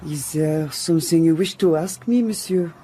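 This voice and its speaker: A woman's voice: low-pitched, moderate pace, background noise, lightly acted. A woman playing a guarded, anxious lady's maid in an audio drama, formal and deferential in her speech. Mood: wary.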